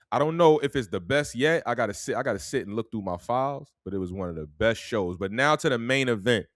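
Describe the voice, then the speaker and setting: A man's voice: on the low side, no echo, clean studio sound. A young man from New York with a New York accent, telling a story in a casual, laid-back way and tagging his sentences with "man". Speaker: podcast host.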